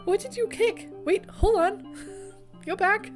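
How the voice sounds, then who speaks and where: A woman's voice: extremely high pitch, slow pace, soft background music. A woman chatting casually as she streams a visual novel. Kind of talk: casual chat.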